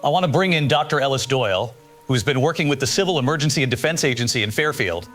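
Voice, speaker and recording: A man's voice: medium pitch, expressive, fast talker, fairly bright tone, soft background music, natural voice. A man playing a concerned radio news host in an audio drama, courteous and earnest as he questions people. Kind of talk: live call-in news show in an audio drama.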